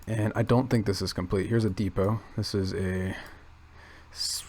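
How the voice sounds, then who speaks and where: A man quietly thinking aloud in a gravelly low register, rambling with many restarts and fillers. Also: fairly even intonation, moderate pace. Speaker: channel host. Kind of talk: casual rambling commentary.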